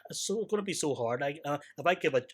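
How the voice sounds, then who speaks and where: A man's voice: on the high side, expressive, fast talker. A man with a Northern Irish accent, born in Hong Kong with Hakka as his first language, telling stories as a podcast guest. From born in Hong Kong, grew up in Northern Ireland. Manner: chatty storyteller, repeats "so it is" and "flipping". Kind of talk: casual chat.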